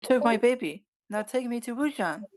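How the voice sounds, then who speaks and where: Defiant, animated kid played by a woman. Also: fairly high pitch, moderate pace, close to an everyday voice. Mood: threatening. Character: defiant, desperate kid with a plastic knife. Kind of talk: amateur screenplay table read.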